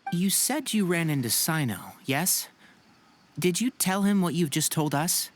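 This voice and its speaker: An actress playing a calm, serious, alert investigator in a video game, asking questions in a brisk, probing manner. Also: female voice, lowish voice. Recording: music behind the voice.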